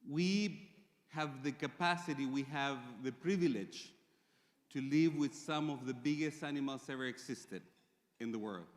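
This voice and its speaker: A man from Chile with a Chilean Spanish accent, speaking English as a second language and giving a conference presentation with measured pauses and fillers. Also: mid-range voice. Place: large conference hall. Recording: reverberant conference hall.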